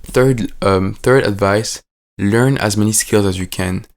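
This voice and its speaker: A man's voice: lowish voice, unhurried. A man at the end of his teens with a French accent, a native French speaker, talking in a casual, rambling way with lots of fillers and restarts. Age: late teens. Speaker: vlogger. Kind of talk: casual reflective vlog.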